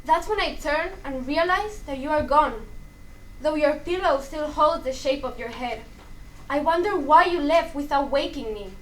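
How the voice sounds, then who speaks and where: A woman's voice: high voice, moderate pace, a bit bright, far from the mic, poor audio. A young woman in a staged play reading, playing a fearful, questioning girl who talks with her grandmother. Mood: wistful. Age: young adult. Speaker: student actor in a dramatic reading.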